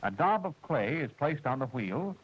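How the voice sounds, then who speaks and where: A man narrating a 1940s military training film, with a precise, measured and formal delivery, heard through an old film soundtrack. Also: mid-range voice, sing-song, breathy edge, highs cut off. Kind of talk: scripted instructional narration.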